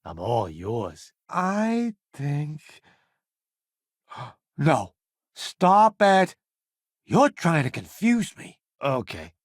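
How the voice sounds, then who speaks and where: A man's voice: a little high, huge pitch swings, no room sound, studio quality, exaggerated voice. A man playing a vain, flamboyant columnist turned space crewmate in a video game, with a wry, theatrical, smug delivery. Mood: firm, wary. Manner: theatrical, wry, smug banter with drawn-out emphasis. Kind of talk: video game companion dialogue.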